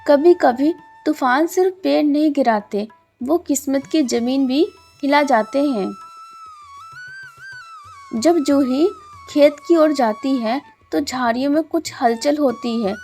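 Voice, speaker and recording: A woman narrating a Hindi cartoon story in a gentle, measured, moralizing style. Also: high-pitched, soft background music.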